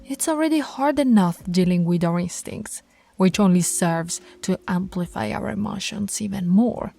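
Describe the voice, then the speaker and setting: A woman voicing a dominant female alpha werewolf in an intimate roleplay, commanding yet affectionate, with a breathy, husky voice and slow, deliberate delivery. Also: mid-range voice, dark tone. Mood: firm, caring. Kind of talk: intimate ASMR roleplay voice acting.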